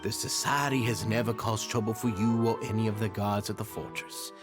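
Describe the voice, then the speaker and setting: An actor with a Southern US accent playing an obsequious, manipulative society leader in a video game, elaborately polite and theatrical. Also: male voice, on the low side, steady tone, a little fast, lightly acted. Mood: pleading, manipulative. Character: slick, obsequious, manipulative society leader feigning humble courtesy. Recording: game audio under loud background music.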